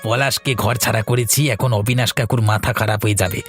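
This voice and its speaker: A man's voice: fairly low pitch, steady tone, a little fast, soft background music, close to an everyday voice. A man playing a scheming, mischievous village swindler who gloats in a Bengali cartoon. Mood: gloating.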